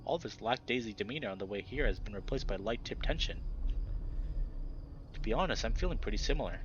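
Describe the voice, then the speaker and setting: A man's voice: on the low side, animated, quick pace, fairly bright tone, highs cut off, slight background noise. A man reading first-person visual novel narration in a dramatic, scripted storytelling style.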